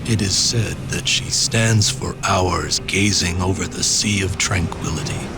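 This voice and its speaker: Loud music runs under a low-pitched, battle-worn male hero. He narrates a video game legend with grave, measured weight. The delivery is solemn and portentous. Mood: grave, reverent. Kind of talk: dramatic scripted cutscene narration.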